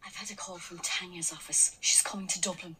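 An actress in a radio drama playing a practical, gently teasing woman who works alongside her partner. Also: fairly low pitch, steady tone, fairly quick, whispered, natural voice. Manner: teasing, matter-of-fact.

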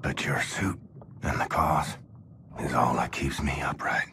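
A man's voice: rumbling low, fairly even intonation, measured pace, very noisy. A man with an American accent playing a weary, dying but defiant soldier in a video game, brooding and often murmuring breathily. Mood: mournful. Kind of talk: video game cutscene acting.

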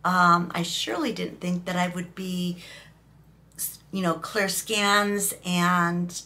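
A woman in her fifties, hoarse from a recent cough, chatting emotionally to camera in a small room, rambling with fillers. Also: on the low side, level tone, takes it slowly, bright, forceful tone. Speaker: vlogger. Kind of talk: casual talking-head vlog.

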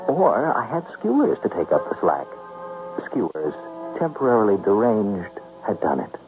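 A sullen, scheming nephew in a vintage radio play. His lowish voice swings dramatically as he confides like a plotter. The recording is dull, with music behind. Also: huge pitch swings, normal speed. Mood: tense, scheming. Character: bitter scheming nephew, anxious first-person narrator of his crime. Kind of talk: 1970s radio drama acting and narration.

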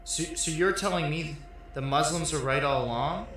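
A man's voice: a little high, moderate pace, a bit bright, natural voice. An actor playing a confused, anguished young man who has just died, questioning and plaintive in a stage-style drama, in an echoey room. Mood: bewildered. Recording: very echoey room, faint noise.

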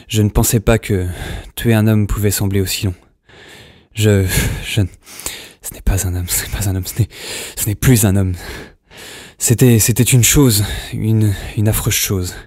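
Man acting a low-voiced, haunted lone survivor. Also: steady tone, darkish tone, dry recording, clean studio sound. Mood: shaken. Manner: halting, repeating words, brooding. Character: lone, haunted male survivor keeping an audio diary. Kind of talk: audio drama monologue recorded as a diary.

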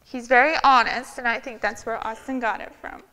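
A woman's voice: a little high, steady tone, normal speed, bright, forceful tone, slight background noise, poor audio. A middle-aged woman sharing her personal testimony with earnest, heartfelt storytelling at a church women's event, speaking from a lectern in a large church sanctuary. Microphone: filmed by a far camera.

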